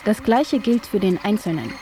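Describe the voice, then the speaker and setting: A woman's voice: medium pitch, expressive, normal speed, rough recording. A woman reading scripted documentary narration for a radio feature. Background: music.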